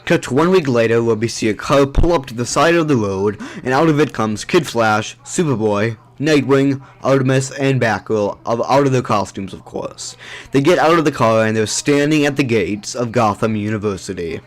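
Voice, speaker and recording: A man's voice: mid-range voice, normal speed. A man reading scripted narration for an audio drama.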